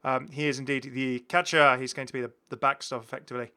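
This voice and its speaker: A British man hosts a gaming video in a bright, forward voice at a middling pitch. He talks casually and keenly, rambling through his points and often rewording them midway. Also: level tone, fast talker, smooth. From United Kingdom. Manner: rambling, self-correcting, enthusiastic. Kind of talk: casual game commentary.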